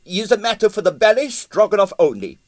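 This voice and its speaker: A man's voice: sits high, sing-song, brisk, darkish tone, voice pushed up. A man voicing a blustering, evasive Russian ballet impresario in broken English with a Russian accent, in a storybook reading. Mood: indignant, evasive.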